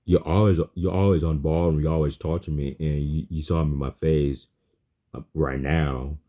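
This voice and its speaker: A man chatting casually in halting, repetitive, non-fluent phrases, often restarting his words. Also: a bass voice, steady tone, mellow. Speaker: chatbot user. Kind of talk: casual spoken chat.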